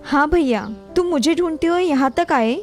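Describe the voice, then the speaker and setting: A woman playing a gentle young princess and devoted sister in a children's fairy tale. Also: high voice, normal speed, natural voice. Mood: sweet, relieved. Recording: long hall reverb, music behind.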